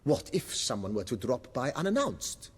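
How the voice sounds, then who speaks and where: A man playing a deferential, fussy butler in a video game, with a breathy, husky voice and formal, florid, obsequious speech. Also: medium pitch, sing-song, normal speed, in a large hall. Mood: eager, deferential. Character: deferential, fussy, eloquent male butler of an estate.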